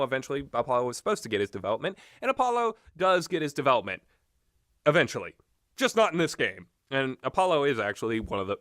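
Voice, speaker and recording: A man rambles through blunt, casual commentary in a bright, forward voice. His pitch swings around a lot as he halts, restarts and fills gaps while thinking. The recording is close and dry. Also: dry recording. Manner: hesitant, halting, many filler words and trailing thoughts. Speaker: host of a video game talk.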